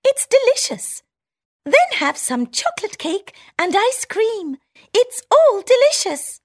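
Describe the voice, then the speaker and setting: A woman voicing an excitable, squeaky female town mouse in a storybook reading. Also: slow pace, heavily acted. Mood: excited. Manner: shrill, urgent squeals.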